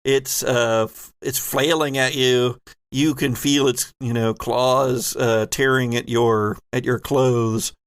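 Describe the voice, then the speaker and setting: A man with a mid-pitched voice acts as game master for a tabletop role-playing game. He narrates scenes in vivid, improvised detail, then slips into conversational talk about rules. The sound is dry, without room echo. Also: level tone, takes it slowly, smooth.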